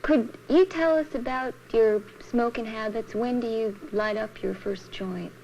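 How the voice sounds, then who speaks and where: Female documentary interviewer, fairly high voice, direct neutral questions, faintly noisy 1970s film sound. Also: unhurried, a little mellow.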